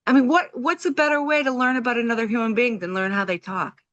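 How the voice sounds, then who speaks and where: A woman hosting a casual chat, eager and quick to laugh, with frequent hesitations. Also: a little high, fairly bright tone, dull audio. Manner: enthusiastic, laughing often, many fillers. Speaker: host.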